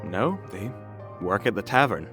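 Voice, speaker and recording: A man's voice: on the low side, lively intonation, a little slow. A man playing a smug, sardonic, theatrical mage in a fantasy audio drama. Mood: thoughtful. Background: faint music.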